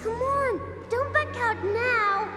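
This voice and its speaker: Very high-pitched bold little girl. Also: slow pace. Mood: teasing, daring. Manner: urgent, egging on. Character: bold, teasing little girl, younger sister. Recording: music behind the voice.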